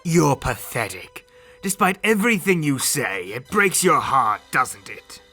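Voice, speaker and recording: A man's voice: a little high, sing-song, a little slow, pushed and bright, soft background music, exaggerated voice. A man playing an arrogant, cruel alien warrior prince in a fan audio drama, haughty and taunting. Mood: sneering.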